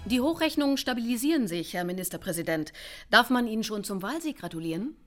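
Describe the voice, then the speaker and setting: An actress playing a brisk, pointed election-night interviewer in a radio drama. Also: female voice, fairly high pitch, lively intonation, soft background music, natural voice. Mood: pressing.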